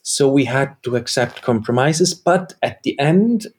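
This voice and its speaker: A man's voice: medium pitch, expressive, unhurried, a little mellow, breathy edge. A man whose first language is German, answering interview questions in a searching, reflective way as a theater maker. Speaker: theater maker and game co-creator.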